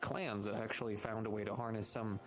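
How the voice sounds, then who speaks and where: A man's voice: fairly low pitch, steady tone, a little fast, a bit bright. A man improvising in-character roleplay as a guild shopkeeper, explaining things at length in a wordy, salesman-like patter. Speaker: roleplayer running a guild shop in a social VR roleplay. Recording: music playing behind the voice. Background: music.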